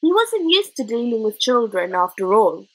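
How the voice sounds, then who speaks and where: A woman reading a children's novel aloud in a gentle, expressive storytelling manner. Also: a little high, normal speed, breathy edge, poor audio. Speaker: audiobook reader. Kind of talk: storybook narration.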